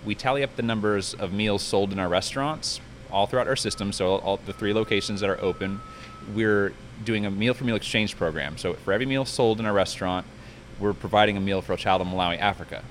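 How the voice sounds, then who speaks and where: A man with a fairly low voice talks quickly and chattily, like a restaurant manager in a casual interview. His delivery rambles along in a promotional way, recorded inside a restaurant with faint background noise.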